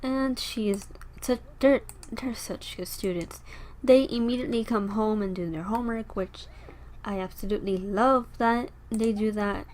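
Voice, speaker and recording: A young woman with a slightly raspy, tired voice chatting casually as she plays a life-sim game, rambling and talking to her characters. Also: medium pitch, normal speed, a little mellow. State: tired, sore throat. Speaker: gameplay video host. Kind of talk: casual gameplay commentary.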